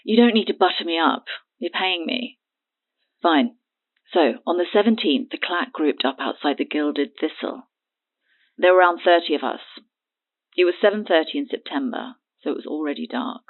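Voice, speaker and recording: Over a tinny, narrow phone line comes a cagey, sardonic woman with a lowish voice. She talks with haughty mockery, needling whoever listens, in natural audio drama style. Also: expressive, moderate pace. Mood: scornful, recounting. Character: Birdie, cagey mocking woman selling her testimony. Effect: phone line filter.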